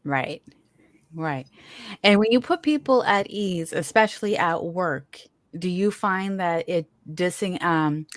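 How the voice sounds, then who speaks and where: A woman with a notably low-pitched voice hosts a relaxed conversation, chatty and quick to laugh. She often restarts mid-sentence. The sound is dry and close, with no room around it. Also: no room sound. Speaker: podcast host. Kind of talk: casual conversational interview.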